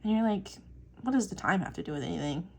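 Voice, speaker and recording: A woman's voice: mid-range voice, expressive, fast talker, gravelly, a little mellow, a little hiss or hum. A young woman talking casually and rambling on tangents while she inks a drawing, swearing now and then and filling gaps with "like" and "um.". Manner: chatty, rambling, swears casually, many fillers. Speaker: artist vlogger.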